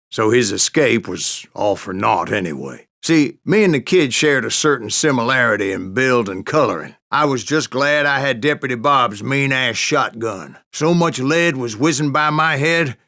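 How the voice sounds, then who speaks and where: A man's voice: mid-range voice, a bit bright. An actor playing an old American bounty hunter with a Western drawl, narrating his exploits in a western video game with wry, boastful folksiness. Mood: defiant boasting. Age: older. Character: grizzled old bounty hunter gunslinger telling tall tales of the Wild West.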